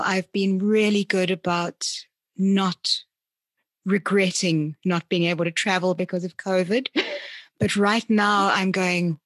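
A woman hosts an interview in a conversational, reflective way. Her voice sits at a middling pitch, and she rephrases her thoughts as she goes. The sound is dry, with little room echo. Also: fairly bright tone. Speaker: podcast host, interviewer.

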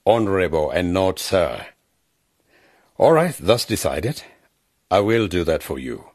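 An actor playing a vain, pompous member of parliament who insists on being called honorable, in a radio drama. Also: male voice, low-pitched, big pitch swings, measured pace, no echo, studio quality, heavily acted. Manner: pompous, insists on his title. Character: vain smooth-talking male politician, middle-aged.

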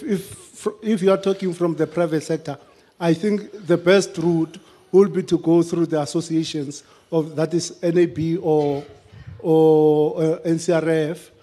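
A man giving a matter-of-fact, somewhat halting explanation off the cuff at a public discussion. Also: on the high side, mellow.

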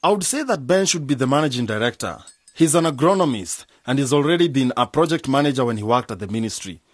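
An actor playing a practical, assured cooperative organiser in a radio drama, speaking in a methodical, matter-of-fact way. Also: male voice, mid-range voice, lively intonation, fast, no echo, lightly acted. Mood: businesslike.

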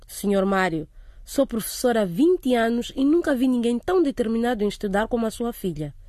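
An actress playing a firm, caring middle-aged headmistress in a radio drama, speaking in an earnest, measured way. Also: female voice, a little high, lively intonation, dark tone, dry recording, clean studio sound, close to an everyday voice. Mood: polite, reassuring.

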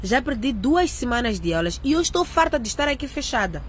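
A woman's voice: fairly high pitch, sing-song, a little fast, slight background noise. A woman playing a frightened teenage schoolgirl in a radio drama, pleading and protesting. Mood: defiant, upset.

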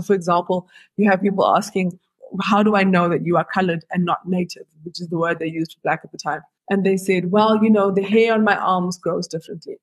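A woman's voice: fairly low pitch, breathy edge, no echo, poor audio. A woman interviewing an author on a podcast, reflecting at length before she asks her questions. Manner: reflective, builds long explanations before asking a question. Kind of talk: conversational interview.

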